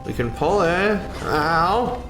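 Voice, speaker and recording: Halting, slow-talking man in an echoey room. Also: on the high side, expressive. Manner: rambling, halting, with drawn-out pauses and self-corrections. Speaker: livestreamer and content creator. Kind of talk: casual livestream chat, answering a viewer's question. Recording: roomy echo with music underneath. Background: music.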